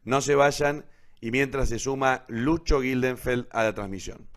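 A man's voice: medium pitch, steady tone. Argentine guy hosting a live online show, chatty and rambling, stops and restarts a lot. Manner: rambling, halting, self-correcting. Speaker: host of an online radio theatre show.

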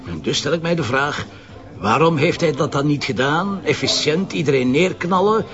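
An actor playing a jovial, teasing, stubborn Flemish police commissioner in colloquial, emphatic radio drama dialogue. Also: male voice, fairly high pitch, animated, moderate pace, a crowd in the background, natural voice. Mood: pondering, serious. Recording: dull lo-fi sound with music behind.

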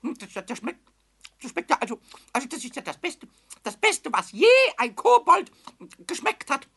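A man playing a cheeky, childlike little kobold in a children's radio play, shrill and creaky, stammering and repeating words excitedly. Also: normal speed, exaggerated voice. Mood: blissful.

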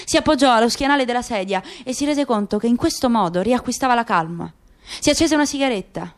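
Higher-voiced woman narrating radio drama. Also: expressive, quick pace. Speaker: actress narrating a radio play.

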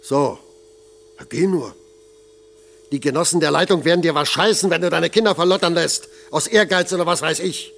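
An actor playing a blunt, patronising middle-aged factory foreman with a gravelly voice in a German radio play. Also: a little high, normal speed, fairly bright tone, a little hiss or hum. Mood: angry. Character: gruff paternal older factory foreman.

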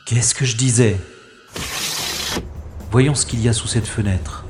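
A man's voice: mid-range voice, measured pace, darkish tone, natural voice. Guy playing cocky space agent in a sci-fi audio play, mid voice, echoey, music behind him. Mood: tense, wry. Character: cocky, wry male space secret agent on a mission. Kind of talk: French sci-fi audio drama, first-person narration and dialogue. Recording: roomy sound with music behind.

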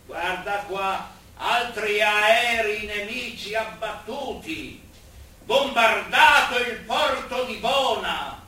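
An actor playing a wry, educated antifascist doctor in a stage drama set in 1940s Rome, theatrical and emphatic. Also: male voice, high-pitched, slow pace, bright, forceful tone, exaggerated voice. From northern Italy. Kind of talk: stage comedy-drama acting. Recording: echoey far-off stage recording with noise.

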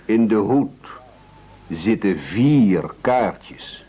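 An actor in a radio play playing a pompous, scheming leader who speechifies in formal, flowery phrases. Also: male voice, lowish voice, expressive, drawn-out words, slightly rough, heavily acted. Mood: grave. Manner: oratorical, grandiloquent, formal. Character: pompous, scheming, silver-tongued male leader of castaways. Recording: dull, lo-fi radio play recording.

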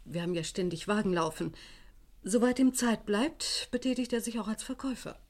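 A woman's voice: mid-range voice, expressive, fairly quick, darkish tone, lightly acted. Actress as correct, loyal office bookkeeper, mid-range female voice, 1970s radio mystery. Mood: discreet, matter-of-fact. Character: proper, efficient female chief bookkeeper, discreet and loyal. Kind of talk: 1970s German radio crime drama acting.

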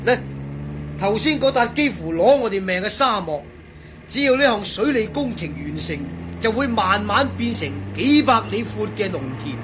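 A man acting a proud, excitable engineer and grumbling companion in a radio drama, with a Hong Kong Cantonese accent. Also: sits high, normal speed, background noise. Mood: boastful, eager.

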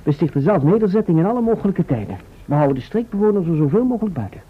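An actor playing a courteous, eager travel agent from the future in a Dutch radio play, with a formal manner and Netherlands accent. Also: male voice, high-pitched, very animated, very fast, husky, phone-quality sound, exaggerated voice. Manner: formal, businesslike, polite. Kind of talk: old-fashioned radio play acting. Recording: thin, band-limited old radio recording.

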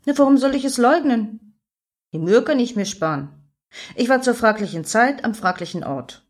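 A woman's voice: a little high, animated, normal speed. An actress playing an impatient, self-assured, defiant woman in a satirical radio play, brusque and assertive.

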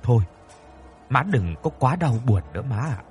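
A man voicing a weary adult son in a dramatic story, speaking quietly, gently and firmly. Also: mid-range voice, sing-song, moderate pace, soft background music, lightly acted. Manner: quiet, gentle but firm. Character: weary, restrained adult son, grimly controlled.

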